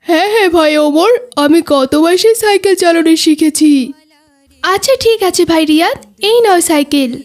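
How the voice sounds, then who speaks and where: A woman's voice: extremely high pitch, normal speed. A woman voicing an earnest, chatty young boy in a children's cartoon, with a declamatory scripted delivery. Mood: friendly, earnest. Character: earnest young boys, pious and chatty playmates.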